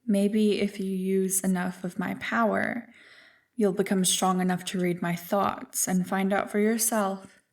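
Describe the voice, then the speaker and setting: A woman voicing an ancient, evil primordial being in an ASMR roleplay, smug and tempting, with a deliberate, taunting delivery. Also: mid-range voice, level tone, vocal fry, recorded from a distance. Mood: ominous, coaxing. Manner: slow, deliberate, taunting, coolly amused.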